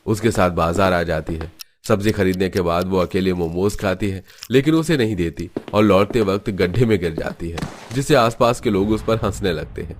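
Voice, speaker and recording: A man's voice: low-pitched, moderate pace. A man narrating a scripted cartoon family story.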